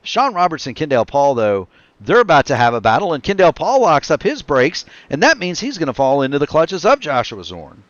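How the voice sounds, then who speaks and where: A man calling a virtual stock car race as play-by-play commentator, quick and excitable on the action, his voice getting tired. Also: fairly high pitch, no room sound, muffled recording. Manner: rapid excited play-by-play, self-correcting. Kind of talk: sim racing commentary.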